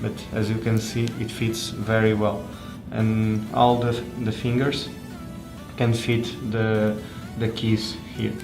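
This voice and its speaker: A man giving an unscripted hands-on product review in a halting, hesitant way, often pausing and repeating words. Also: fairly low pitch, monotone, vocal fry, reverberant, over music. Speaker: tech reviewer presenting a phone.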